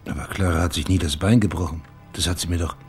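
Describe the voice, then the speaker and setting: An actor playing a brooding private detective in a radio play, speaking in terse, clipped phrases with a husky voice. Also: male voice, low-pitched, fairly even intonation, quick pace, faint music behind, close to an everyday voice. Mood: probing, urgent. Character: brooding male private detective narrating his own case. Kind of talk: radio play monologue and dialogue.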